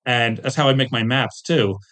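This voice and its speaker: A man's voice: mid-range voice, moderate pace, a bit bright. A man telling rambling, self-deprecating anecdotes with jokey asides as a guest in a casual interview. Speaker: guest, tabletop game designer and webcomic artist.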